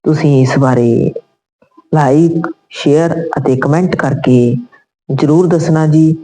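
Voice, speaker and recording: A woman reading a scripted moral story as narrator, in measured phrases. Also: sits low, warm tone, faint music behind, poor audio.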